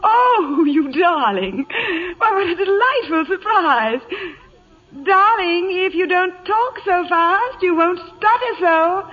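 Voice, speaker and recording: A woman's voice: extremely high pitch, lively intonation, slow pace, full character voice. A woman playing a vain, affected glamorous actress in an old-time radio comedy, gushing and catty. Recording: muffled vintage radio broadcast recording.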